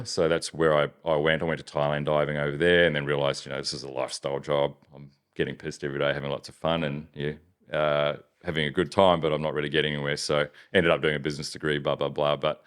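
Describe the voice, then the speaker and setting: A man from New Zealand with a softened Kiwi accent, chatting casually as a business owner in an interview, rambling with many restarts. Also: extremely low pitch, little pitch movement, quick pace, clear, resonant tone. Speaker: business owner, podcast guest.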